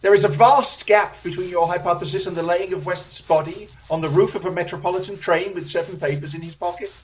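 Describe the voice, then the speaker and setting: A man plays a confident, analytical detective in a radio drama. His voice sits high for a man, with deliberate, theatrical phrasing. A faint noise runs under the recording. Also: fairly even intonation, natural voice. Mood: brisk, pensive. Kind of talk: radio play acting.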